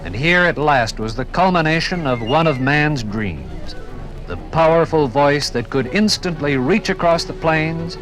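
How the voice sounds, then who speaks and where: An American man narrating a scripted 1950s radio documentary in dramatic, rhetorical phrases that build deliberately. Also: a little high, sing-song, a bit bright, soft background music, poor audio. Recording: vintage 1950s radio program recording.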